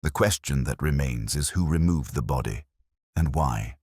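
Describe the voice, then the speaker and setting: A man voicing a brilliant, grave detective in an audiobook, speaking deliberately and precisely. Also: a bass voice, darkish tone, husky, no room sound, clean studio sound. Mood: measured, urgent. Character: brilliant, grave male detective, coolly analytical. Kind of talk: audiobook character dialogue.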